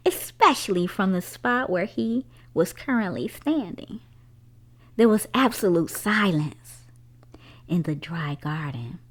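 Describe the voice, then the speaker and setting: A woman reading a children's story aloud as a storyteller, with a dramatic, expressive delivery. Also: medium pitch.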